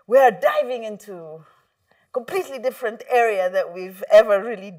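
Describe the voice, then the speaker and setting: A woman's voice: medium pitch, normal speed, dark tone. Woman, talk show host, enthusiastic, affirming, slightly distant mic. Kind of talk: interview hosting.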